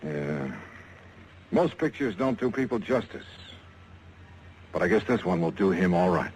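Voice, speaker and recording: An actor playing a tough, dry-witted insurance investigator in clipped 1950s radio detective style. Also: male voice, low voice, lively intonation, measured pace, noisy background. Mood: hardboiled, terse. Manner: clipped, terse, hardboiled. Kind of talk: 1950s hardboiled radio acting and first-person narration. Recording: vintage radio drama with music behind.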